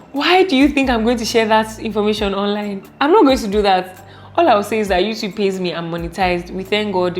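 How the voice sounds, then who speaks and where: Playful Nigerian woman vlogger over background music. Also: mid-range voice. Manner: playful, animated, giggly, exclamatory. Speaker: vlogger answering viewer questions. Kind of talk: casual Q&A vlog chat.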